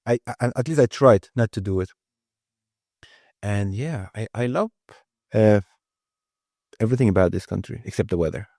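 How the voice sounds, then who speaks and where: A man's voice: on the low side, moderate pace, long pauses, dark tone, no echo. Earnest man, Persian native, dry sound. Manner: earnest, restarts phrases, emphatic. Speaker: guest, IT lead. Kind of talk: casual interview talk.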